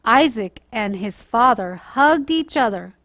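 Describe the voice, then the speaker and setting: A woman telling a Bible story to children, explaining it simply and deliberately as a church storyteller. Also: on the high side, expressive, a bit bright, dry recording. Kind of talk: read-aloud children's story with informal moral teaching.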